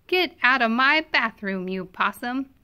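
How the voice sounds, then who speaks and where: A woman's voice: fairly high pitch, lively intonation, a little slow, bright, forceful tone, clean tone. A woman voicing an indignant little girl in a children's storybook, firmly ordering others around. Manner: firm, indignant. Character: indignant little girl shooing animals away.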